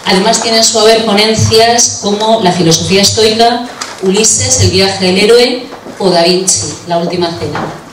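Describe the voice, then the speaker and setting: A woman at a conference introduces the next speakers in a steady, formal reading. Her voice is mid-pitched and reaches the mic from across the room. A roomy echo trails behind her words. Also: normal speed, background noise. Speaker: conference presenter. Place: conference hall. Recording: echoey room, voice from far off.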